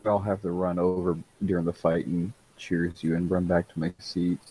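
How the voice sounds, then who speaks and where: Co-host type: low, dark-toned man, brief replies. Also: level tone, normal speed, slight background noise, poor audio. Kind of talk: casual chat.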